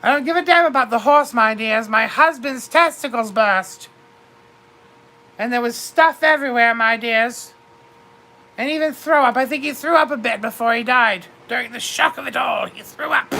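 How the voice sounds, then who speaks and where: Theatrical high-voiced woman storyteller. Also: moderate pace, creaky, bright, forceful tone, poor audio. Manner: theatrical, grandly confiding, calls listeners darlings. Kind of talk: comic storytelling monologue.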